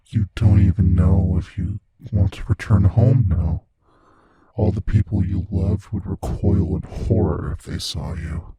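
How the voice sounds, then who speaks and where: Predatory monster played by a man, ASMR audio drama: dark soft tone, unhurried, breathy, toying and menacing. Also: deep voice, dry recording, heavily acted. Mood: mock pity, menacing. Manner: taunting, deliberate, often dropping into breathy whispers. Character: sadistic, playful predatory monster toying with his prey.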